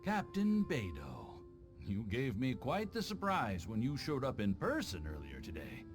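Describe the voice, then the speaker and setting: A man's voice: medium pitch, huge pitch swings, measured pace, faint music behind. A man voicing a polite, knowing and shady business contact in a video game. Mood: courteous, curious. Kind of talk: scripted game dialogue.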